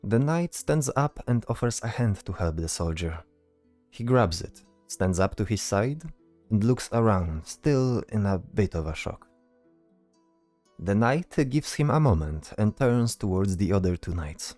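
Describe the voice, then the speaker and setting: A man narrating a scripted fantasy story as storyteller, delivering it deliberately in short phrases. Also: on the low side, lively intonation, a little mellow, dry recording, faint music behind.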